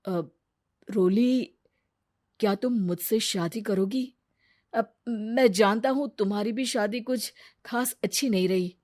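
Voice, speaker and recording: A woman voicing a kind, responsible single father in a cartoon moral story, speaking in an earnest, measured way. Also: mid-range voice, darkish tone, natural voice. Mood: tender.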